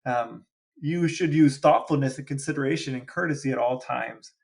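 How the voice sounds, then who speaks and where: A man's voice: mid-range voice, moderate pace, vocal fry. A man co-hosting a podcast with his brother, reading out lists and asking playful questions in casual chat. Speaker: podcast co-host.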